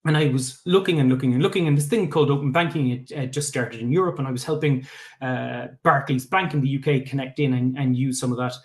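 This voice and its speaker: Irish man giving a casual pitch. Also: fairly even intonation, fast. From Ireland. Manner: conversational, with many filler sounds and restarts. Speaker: startup founder presenting. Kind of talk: pitch presentation on a video call. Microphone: video call.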